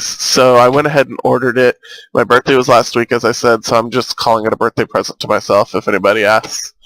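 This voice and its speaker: A man's voice: medium pitch, no echo, rough recording. A young man with a slight lisp chatting casually while playing a game, recorded on a cheap earbud microphone. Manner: chatty, rambling, easily excited. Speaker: gaming YouTuber. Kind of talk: casual let's-play commentary. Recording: thin, robotic-sounding earbud mic.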